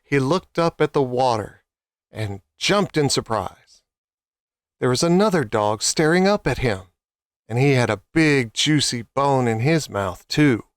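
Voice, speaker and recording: A man's voice: medium pitch, animated, a little slow, no echo, clean studio sound. A man reading scripted children's stories as a storyteller.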